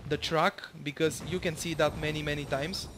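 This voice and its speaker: A man's voice: on the high side, steady tone, moderate pace, background noise. A young man from Bulgaria with a Bulgarian accent, casually commentating his own match, rambling with lots of fillers and the odd swear.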